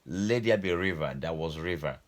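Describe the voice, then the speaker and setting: Very low-voiced older Nigerian man teaching. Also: animated, normal speed. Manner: repetitive, exhorting. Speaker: grandfather teaching a Bible story. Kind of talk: informal family Bible teaching.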